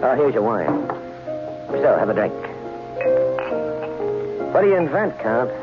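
A man's voice: mid-range voice, sing-song, a little slow. A brash, sardonic con man. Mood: wary. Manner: brash, sardonic, hard-boiled narration. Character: wisecracking male small-time con man narrating. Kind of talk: 1940s radio acting. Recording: muffled old radio recording with loud music.

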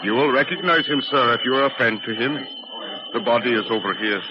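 A muffled, clearly noisy old broadcast carries an actor as a grave railway worker. He is plain-spoken and deferential, with a medium-pitched voice in 1940s radio drama style. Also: brisk, lightly acted. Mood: grave, explaining. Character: sombre, respectful working railway man explaining a death.

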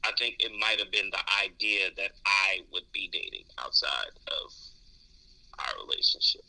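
A man's voice: low-pitched, expressive, moderate pace, gravelly, sharp tone, low-quality recording. A man in candid podcast conversation, speaking thoughtfully and hesitantly, often repeating words as he explains. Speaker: podcast co-host discussing dating.

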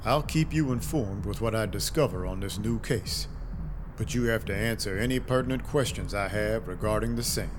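A man's voice: on the low side, moderate pace, background noise, lightly acted. Man playing a world-weary noir vampire detective. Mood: wry, businesslike. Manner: dry, terse, brooding. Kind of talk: first-person noir narration, audio drama acting. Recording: loud music behind the voice.

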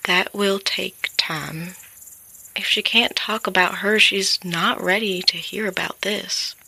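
An actress playing a sympathetic confidante in a naturalistic audio drama, raspy-voiced, gentle and reassuring. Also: female voice, mid-range voice, steady tone, slow pace, full character voice. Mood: sympathetic, soothing. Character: sympathetic, soothing woman confiding with a troubled man.

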